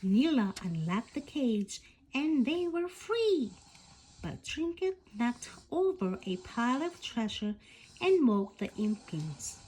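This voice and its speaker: A woman reading a storybook aloud as scripted narration, in an expressive, animated way. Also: a little high, slow, a little hiss or hum, low-quality recording. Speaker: bedtime story reader.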